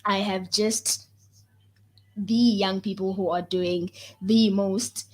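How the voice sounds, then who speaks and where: A woman's voice: medium pitch, fairly even intonation, takes it slowly. A young woman with a South African accent hosting a youth radio talk show, playful and fond of repeating phrases for emphasis. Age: young adult.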